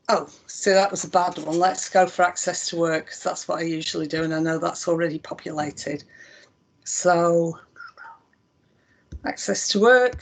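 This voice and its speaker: A woman with a Lancashire accent leads a live walkthrough of a website. She talks through each click in a relaxed, conversational way with plenty of ums. Her voice sits at an ordinary middle pitch. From Northwest England. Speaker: chief executive demonstrating a website. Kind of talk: live software demonstration.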